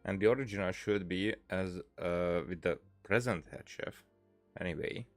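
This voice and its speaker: A man's voice: low voice, normal speed, dry recording, faint music behind. A man giving casual gameplay commentary, hesitant and thinking aloud as he goes. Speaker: gaming video host.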